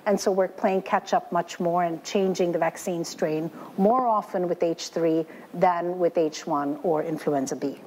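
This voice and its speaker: Measured woman explaining flu vaccines. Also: mid-range voice, mellow, a little hiss or hum, low-quality recording. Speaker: infectious disease researcher. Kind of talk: scientific talk.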